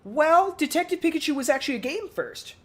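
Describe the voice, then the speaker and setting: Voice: high voice, sing-song, a little fast, fairly bright tone. A podcast co-host chatting casually about pop culture, speaking in a hesitant, rambling way and often repeating words.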